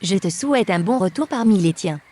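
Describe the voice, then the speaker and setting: A woman's voice: mid-range voice, expressive, brisk, dark tone, lightly acted. A woman playing a polite young newcomer held captive on an island, in an audio drama.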